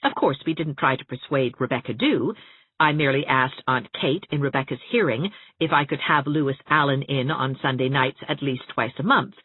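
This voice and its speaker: Expressive low-voiced woman narrator, phone-like sound. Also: moderate pace, slightly rough, no echo. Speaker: audiobook narrator. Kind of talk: expressive scripted story narration. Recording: narrow, phone-like audiobook sound.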